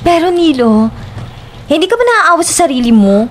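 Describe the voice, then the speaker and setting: Isang babaeng gumaganap bilang maawain at mapagpasensyang batang kaibigan, may puntong Pilipino, sa isang audio drama. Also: female voice, high voice, very animated, normal speed, a little hiss or hum. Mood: concerned, relenting. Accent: Filipino. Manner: sympathetic, coaxing. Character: soft-hearted young woman, sympathetic friend and flatmate. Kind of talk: Tagalog audio horror drama acting.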